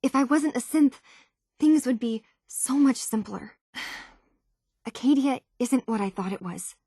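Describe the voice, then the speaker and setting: A woman's voice: a little high, expressive, slow pace, mellow, slightly rough, no echo, clean studio sound, full character voice. An actress playing an earnest young woman tinkerer searching for her identity in a video game, speaking in a natural, slightly hesitant conversational way. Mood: determined, troubled. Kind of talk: naturalistic game dialogue acting.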